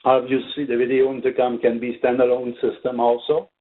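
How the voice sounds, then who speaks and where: A man giving an unscripted technical product presentation, speaking haltingly with very frequent filled pauses. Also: mid-range voice, monotone. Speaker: product trainer presenting for a company. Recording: narrow, tinny phone-like line.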